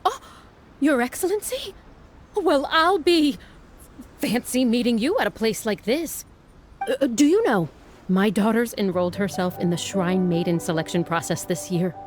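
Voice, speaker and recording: A woman's voice: a little high, expressive, normal speed, a little hiss or hum, lightly acted. An actress voicing a proud, chatty, slightly flustered village mother in an anime game, effusive and gushing.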